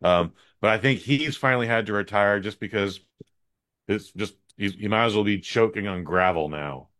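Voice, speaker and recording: A man with a fairly low voice co-hosting a podcast. He chats casually in a relaxed, rambling way and often restarts his sentences as he talks. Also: normal speed. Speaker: podcast co-host. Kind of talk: casual chat.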